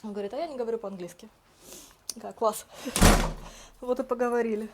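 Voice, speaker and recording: A woman's voice: fairly high pitch, steady tone, fairly quick, heavy background noise. A young woman talking casually to her viewers as a vlogger, telling everyday stories and acting out the dialogue she quotes. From Russian-speaking, living in Spain. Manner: chatty, storytelling, acts out quoted dialogue. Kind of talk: casual vlog narration.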